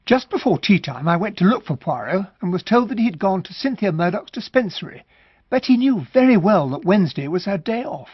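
Husky, earnest British gentleman. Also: sits high, sing-song, brisk, full character voice. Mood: narrating, puzzled. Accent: British English. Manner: hesitant, polite, earnest. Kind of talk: radio drama acting and narration. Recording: muffled radio drama recording.

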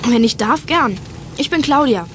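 A woman's voice: fairly high pitch, lively intonation, moderate pace, fairly bright tone. A young actress playing a polite, plucky girl detective in a children's radio play. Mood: polite, friendly. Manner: polite, girlish. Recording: very noisy, vehicle sounds.

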